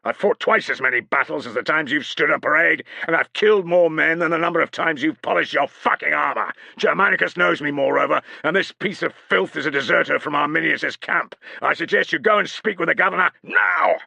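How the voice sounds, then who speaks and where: A man voicing a gruff veteran Roman centurion with a fierce temper, snarling and shouting in an audiobook. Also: on the high side, a little fast, hardly any pauses, no echo, pitched above the performer's own voice, heavily acted. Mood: furious. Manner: snarling, shouting at parade ground volume.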